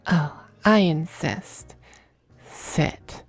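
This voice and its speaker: A woman voicing a suave, possessive demon femboy in an ASMR roleplay, with genteel, teasing endearments and a breathy voice that dips into whispers. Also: sits low, extremely slow, faint music behind, exaggerated voice. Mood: languid, teasing. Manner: genteel, languid, commanding endearments.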